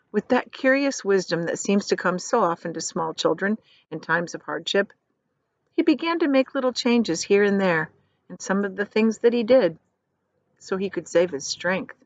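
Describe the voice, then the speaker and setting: A woman reads a children's story aloud, expressively, with deliberate, dramatic emphasis on key words. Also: lowish voice, no room sound, muffled recording. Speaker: teacher reading aloud.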